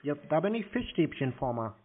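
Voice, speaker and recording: A man on a prank phone call, answering tersely and deadpan, feigning slowness as a customer. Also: a little high. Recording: muffled narrow phone-line sound.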